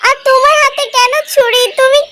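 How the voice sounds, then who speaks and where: A woman's voice: very high voice, flat delivery, brisk, sharp tone, slight background noise. A woman playing an innocent, trusting young schoolboy in a cartoon, eager and pleading. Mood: frightened, pleading. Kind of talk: cartoon story voice acting.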